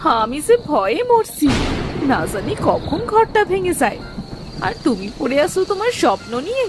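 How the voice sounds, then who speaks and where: A woman's voice: high-pitched, sing-song, unhurried, noisy background. A woman voicing an anxious village wife and mother in a cartoon fairy tale, plaintive, scolding and urging. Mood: fearful, pleading.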